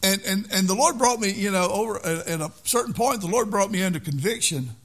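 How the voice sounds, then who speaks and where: A man's voice: high-pitched, brisk, fairly bright tone. An older man preaching a sermon in an earnest way, repeating key phrases for emphasis.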